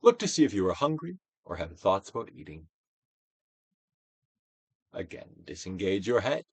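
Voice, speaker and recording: A man giving a theatrical, Shakespearean-style dramatic reading of a self-help book, with deliberate pauses. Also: on the low side, big pitch swings, breathy edge, no echo. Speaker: audiobook reader, actor.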